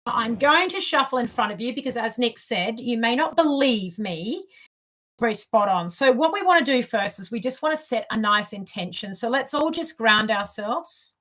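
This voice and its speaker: A middle-aged Australian woman speaks informally to camera as a spiritual mentor and tarot reader. Her voice is fairly high and clearly bright, and she repeats phrases for emphasis. The microphone sits a little way off from her. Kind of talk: informal spoken guidance to camera. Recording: distant microphone.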